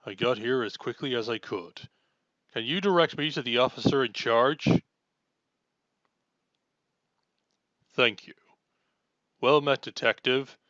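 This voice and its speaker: Casting: male actor as noble sword-wielding superhero, bright forceful tone, formal and self-assured, dry slightly dull recording, audio drama. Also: medium pitch, normal speed, no echo, close to an everyday voice. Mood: composed, businesslike. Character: earnest, chivalrous knight-like armored male superhero swordsman. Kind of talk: scripted audio roleplay acting.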